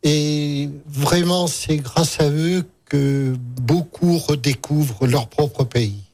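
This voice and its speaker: An older man from rural central France answering interview questions in a measured, affirming way. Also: a little high, darkish tone. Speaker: pulse grower, retired journalist, radio guest.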